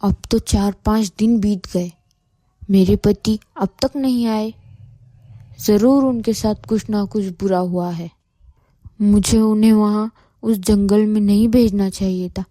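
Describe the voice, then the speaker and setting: A woman acts out a greedy village wife for a cartoon moral tale. Her voice is soft-edged and mid-pitched, recorded very dry, with an insistent, nagging way of talking. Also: steady tone, unhurried, no echo, close to an everyday voice. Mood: worried, regretful. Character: greedy, demanding neighbour wife, later remorseful. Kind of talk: Hindi cartoon moral story dialogue.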